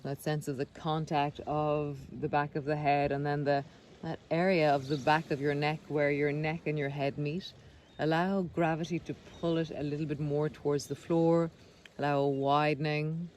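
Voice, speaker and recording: A man leading a guided body scan meditation, speaking gently and unhurriedly with pauses between phrases. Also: fairly high pitch, flat delivery. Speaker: meditation guide, breath coach. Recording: clear background noise of sea sounds. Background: sea sounds.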